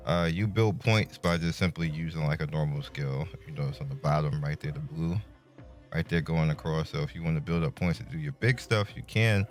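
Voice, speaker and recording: A man's voice: a bass voice, fairly quick. A man giving casual, laid-back, slangy gameplay commentary. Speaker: gaming content creator. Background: faint game music.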